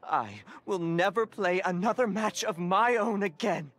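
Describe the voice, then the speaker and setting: A man's voice: sits high, a little slow, fairly bright tone. A man playing a grandiose, formal and reverent disciple who translates for a cat in a video game. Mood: lofty. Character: pompous, devoted male card-game disciple translating for a cat. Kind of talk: video game cutscene acting. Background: game music.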